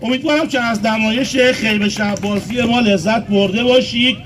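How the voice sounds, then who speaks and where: A man's voice: very high-pitched, background noise. A man from Isfahan, Iran, presenting a comic street puppet show, playfully coaxing the puppets and addressing the audience directly. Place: outdoor street. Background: loud music.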